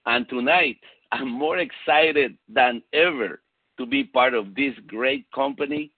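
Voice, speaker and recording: Guy w/ latin american spanish accent, husky voice, sales pep talk on a conference call, thin phone audio. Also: mid-range voice, a little slow, dry recording. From South America and Puerto Rico. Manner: emphatic, repeats key phrases. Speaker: motivational sales speaker, executive consultant. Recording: tinny narrow phone-line sound.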